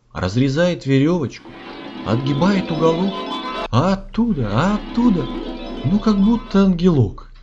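A man narrating a comic fairy tale in rhyme, with a performed storyteller delivery. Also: a little high. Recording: dull sound with music. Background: music.

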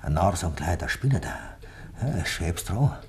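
A man's voice: low voice, very animated, moderate pace, a little hiss or hum. An actor playing a guilty, bullying village man with a Bavarian accent, badgering with taunting questions in a 1950s dialect radio play. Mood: taunting, needling.

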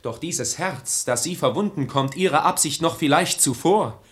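A proud young gentleman in love, played by a male actor in a classic radio comedy. He is touchy and haughty, turning wounded feelings into indignant sarcasm. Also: fairly high pitch, expressive, moderate pace, lightly acted. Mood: mock approval, bitter. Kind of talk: German radio play of classic verse comedy.

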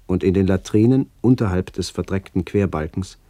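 A man reading scripted literary memories for a radio documentary in measured, fragmentary phrases. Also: low voice, warm tone, no echo. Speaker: narrator recalling childhood memories.

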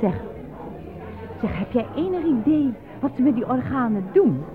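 Een actrice die in een hoorspel een vermoeide, klagende werkende vrouw speelt die graag kletst. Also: female voice, sits high, sing-song, normal speed. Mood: curious, chatty. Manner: chatty, complaining. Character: weary, disgusted, gossiping working woman. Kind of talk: radio play acting. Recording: tinny radio recording with crowd behind.

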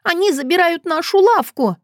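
A woman voicing a feisty, fiercely protective little girl with a shrill, stubborn, sulky manner in an audiobook story. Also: fairly quick, no room sound, clean studio sound. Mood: indignant.

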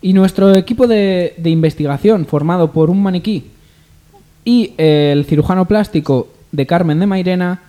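A man's voice: high-pitched, moderate pace, warm tone. A man co-hosting a comedy radio show in casual comic banter.

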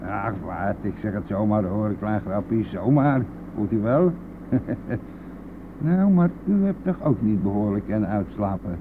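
A man's voice: lowish voice, animated, a little slow. An actor playing a chatty, coarse, self-pitying, hard-drinking bus driver who needles his passenger in a colloquial radio-drama style. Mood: leering, joking. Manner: garrulous, jovial, needling, colloquial. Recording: tinny, band-limited, noisy old radio-play recording.

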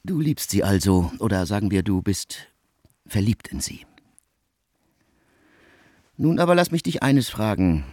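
An actor in a German radio play, playing a cool, probing, formal man with husky, deliberate, ornate and ironic diction. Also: fairly low pitch, big pitch swings, darkish tone, no echo, clean studio sound. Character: cool, probing, formal man asking a friend to woo for him.

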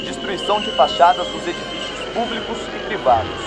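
An actor playing a dramatic news announcer with a Brazilian accent, delivering an urgent bulletin in a radio drama. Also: male voice, high voice, lively intonation, fairly quick, over music. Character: urgent old-time news announcer reporting riots. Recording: very loud background noise.

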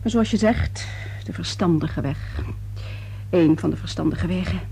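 Mellow, resigned middle-aged mother. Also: on the low side, measured pace, creaky. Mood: resigned, dry. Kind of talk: radio play acting. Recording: noisy old radio play recording.

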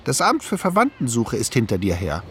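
A man's voice: medium pitch, wildly swooping pitch, brisk, background noise. An actor playing an older man who reflectively recalls his childhood in a German radio play. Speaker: eyewitness narrator in a radio documentary drama.

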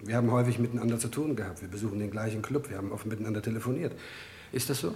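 Actor as a fast, insistent prosecutor, echoey hall. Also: male voice, lowish voice, mellow, close to an everyday voice. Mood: pressing, sly. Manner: formal, insistent. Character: sharp, cunning male prosecutor cross-examining a witness. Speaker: actor in crime radio play. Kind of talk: classic German crime radio drama acting. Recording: echoey courtroom-like hall, background noise.

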